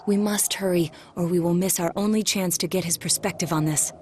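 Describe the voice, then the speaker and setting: A woman's voice: lowish voice, fairly even intonation, brisk, a little mellow, a little hiss or hum, natural voice. A woman voicing a serious, analytical young detective in a video game dub, speaking formally and precisely. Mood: businesslike.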